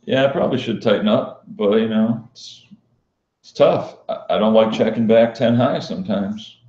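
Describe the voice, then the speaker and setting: Casting: male poker vlogger, mellow monotone, unhyped casual Q&A delivery, dull lo-fi roomy recording. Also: on the low side, moderate pace. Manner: flat, unhyped, matter-of-fact delivery. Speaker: professional poker player and vlogger hosting a live stream. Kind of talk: casual live-stream Q&A chat. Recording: dull, lo-fi sound in echoey room.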